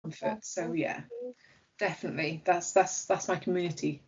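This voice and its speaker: Interviewee, female voice, 30s, slightly low, hesitant explanations, muffled sound. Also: moderate pace, slightly rough. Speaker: interviewee, university staff member.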